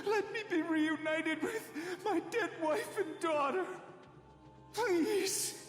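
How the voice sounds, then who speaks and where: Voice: extremely high pitch, slow pace. An actor playing a grieving, servile widower in an anime game, begging in an unsteady, obsequious way. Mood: desperate pleading. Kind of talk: theatrical anime game voice acting. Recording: echoey hall reverb, music behind.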